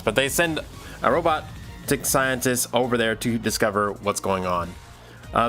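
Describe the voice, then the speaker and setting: A man chatting casually on a livestream while he works, thinking aloud in a halting, rambling way with repeated phrases. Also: lowish voice, a bit bright. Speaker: livestream host and audio drama producer.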